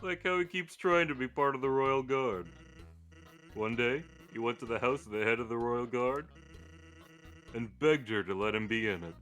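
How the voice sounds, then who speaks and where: A man voicing a laid-back, joking skeleton from a video game, with a casual, wry deadpan manner. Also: mid-range voice, normal speed, close to an everyday voice. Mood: easygoing. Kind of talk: live character voicing of video game dialogue. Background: game music.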